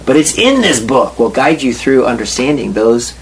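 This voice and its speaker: A man leading a spiritual study group, affirming and explaining in a slow, emphatic way. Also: medium pitch, big pitch swings, a little hiss or hum, low-quality recording.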